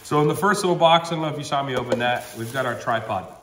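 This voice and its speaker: A man in his thirties talks through a product review at a table at home, chatty and upbeat, with plenty of ums. His voice is a little nasal from a cold and sits at an average pitch. The room is echoey, with a faint hiss behind him. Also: fast talker, a bit bright.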